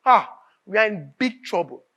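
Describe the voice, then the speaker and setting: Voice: mid-range voice, big pitch swings, normal speed, sharp tone. An actor with a Nigerian accent playing an anguished, bitter writer in a live stage drama, declaiming emphatically and repeating phrases. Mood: agitated. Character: anguished, bitter writer raging at a corrupt nation.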